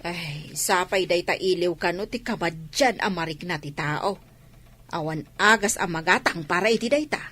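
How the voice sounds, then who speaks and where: Woman playing gossipy snarky warehouse worker, sharp voice, radio drama. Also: lowish voice, moderate pace. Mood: nosy. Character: gossipy, mocking female fruit-warehouse worker. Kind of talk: dialogue in an Ilocano audio drama.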